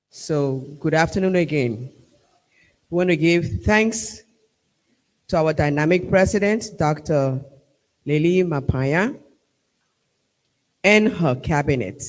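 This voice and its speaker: A man with a Liberian accent giving a formal vote of thanks at a ceremony, measured and emphatic, rallying the crowd. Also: fairly high pitch. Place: large hall at a university ceremony. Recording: long reverb of a large hall.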